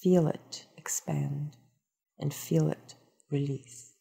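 A woman leading a guided meditation, speaking in a calm, soothing and unhurried instructional manner. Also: deep voice, creaky, breathy edge.

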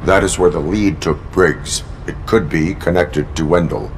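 A stoic cyborg policeman for a video game. The voice sits low, mechanical and formal, each phrase stiff and unbending. Faint noise lies behind it. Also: a little slow. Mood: flat, dutiful. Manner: stiff, formal, monotone delivery.

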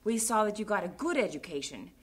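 A stern middle-aged mother who scolds in a cutting tone. Her voice sits at a middling pitch. It is a studio radio drama. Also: big pitch swings, fast talker, husky. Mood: scolding.

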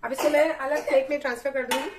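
Woman in her thirties, chatty cooking vlogger, higher voice, roomy off-mic kitchen sound. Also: steady tone, quick pace, recorded from a distance, background noise. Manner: chatty, explains each step while cooking. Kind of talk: casual cooking walkthrough. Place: small home kitchen.

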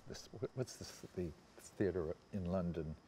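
An American man in his seventies reminiscing in anecdotes on a panel, haltingly, often trailing off and circling back. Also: low-pitched, animated, dark tone, a little hiss or hum. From United States. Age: 70s. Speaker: panel guest recalling personal memories. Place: seated on a theatre stage.

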